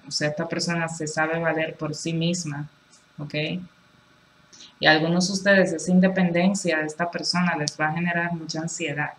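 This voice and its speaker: Lower-voiced woman giving a chatty tarot reading. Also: fairly bright tone, a little hiss or hum, low-quality recording. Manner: chatty, reassuring, lots of filler phrases. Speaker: tarot reader. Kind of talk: casual tarot reading to camera. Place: indoors at a table with cards on a cloth.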